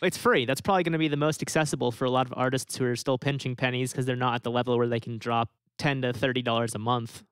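A man's voice: mid-range voice, fairly even intonation, fast talker, hardly any pauses, smooth, no echo. A young man hosting an interview over a video call, asking questions in a friendly way. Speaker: host. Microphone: studio mic on stand.

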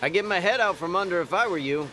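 An actor playing a folksy, excitable, wary factory coworker in a radio drama. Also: male voice, sits high, fast, slight background noise, poor audio.

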